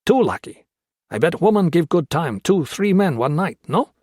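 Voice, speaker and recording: Male reader playing a leering guerrilla fighter, broken English, menacing, pushed higher and louder. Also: sing-song, moderate pace, husky, no room sound, clean studio sound. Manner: clipped broken English, threatening.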